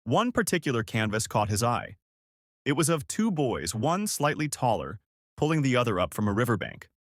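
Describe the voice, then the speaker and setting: Male storyteller, lively pitch, dry sound. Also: normal speed, dry recording. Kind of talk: scripted story narration.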